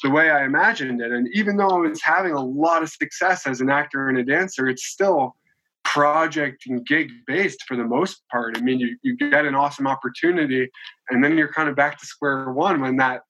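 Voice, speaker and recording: A man's voice: mid-range voice, fairly quick, bright, forceful tone, lo-fi sound. A man in his late twenties, a podcast guest, talking in a chatty, rambling way with many restarts and fillers. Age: 20s. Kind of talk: casual conversational interview.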